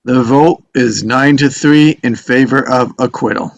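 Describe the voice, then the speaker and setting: A man plays a jury foreman in a stage drama read-through. He is loud, formal and deliberate, speaking very slowly. The sound is a bit muffled and lo-fi. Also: mid-range voice, creaky. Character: male jury foreman running a formal vote.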